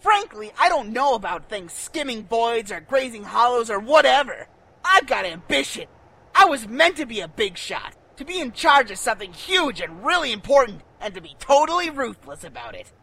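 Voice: mid-range voice, very animated, moderate pace, bright, forceful tone, heavily acted, rough recording. An actor playing a foul-mouthed, hot-tempered young troll programmer in a fan radio play, ranting with exasperated sarcasm. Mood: boastful. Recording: music behind the voice.

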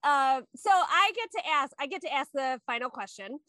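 A woman co-hosting a podcast, asking questions in a casual, chatty, playful and animated way. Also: sits high, normal speed, no room sound. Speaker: podcast co-host.

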